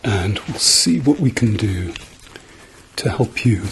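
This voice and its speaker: A man doing a soft-spoken, often whispered ASMR roleplay as a gentle, reassuring doctor with a dryly playful manner. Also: lowish voice, lively intonation, takes it slowly, gravelly, a little hiss or hum, rough recording. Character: calm, reassuring male doctor treating a patient.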